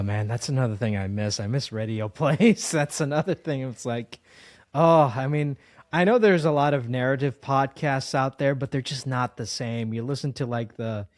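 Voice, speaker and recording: A man with a medium-pitched voice hosts a casual interview, recorded close and dry. He rambles along informally with a fan's enthusiasm. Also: no room sound. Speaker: interview host.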